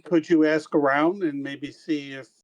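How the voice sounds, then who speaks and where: A man playing a tabletop game, speaking in a slow, hesitant way. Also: a little high, steady tone, highs cut off. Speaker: player.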